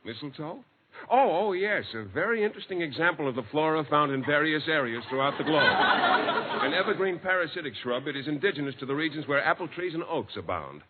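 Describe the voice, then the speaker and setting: Muffled old-radio actor as a shy pedantic teacher. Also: male voice, on the high side, expressive, fairly quick, very noisy, lightly acted. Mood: earnest, oblivious. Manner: earnest, hesitant, lecturing. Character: shy, oblivious, pedantic adult biology teacher man. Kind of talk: 1940s radio comedy acting.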